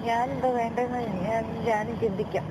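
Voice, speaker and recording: Insistent high-pitched woman on a noisy call. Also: fairly even intonation, a little fast. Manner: insistent, repeats phrases, pleading complaint. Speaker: caller. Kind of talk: tense, argumentative phone conversation. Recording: noisy phone call recording, vehicle sounds.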